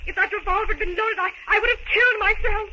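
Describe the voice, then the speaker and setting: An actress playing a shaken, earnest young heroine stranded in the jungle, breathless and melodramatic in a 1930s radio serial. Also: female voice, extremely high pitch, fairly even intonation, fast, bright, forceful tone, heavily acted. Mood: shaken, relieved. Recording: muffled, noisy 1930s radio recording.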